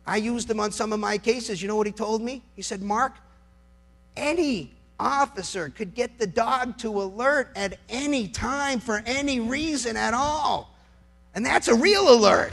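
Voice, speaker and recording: American guy doing a fired-up political speech, high voice w/ lots of gravel, punchy and dramatic. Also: fairly bright tone. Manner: emphatic, dramatic, rhetorical questioning. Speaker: public speaker, lawyer. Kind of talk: impassioned political speech to an audience.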